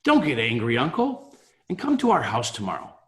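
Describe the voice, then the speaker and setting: A man's voice: mid-range voice, wildly swooping pitch, brisk. A man playing a cheerful, earnest nephew in a table read, pleading in an emphatic, theatrical way. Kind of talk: amateur table read of a Victorian Christmas story.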